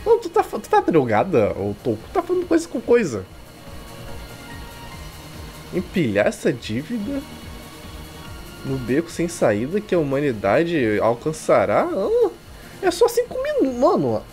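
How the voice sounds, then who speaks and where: A Brazilian man with a very animated delivery reads game text aloud and comments on it. His manner is irreverent and slangy, like a casual streamer, with music playing behind him. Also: on the high side, measured pace, pauses often. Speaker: streamer playing and dubbing a visual novel.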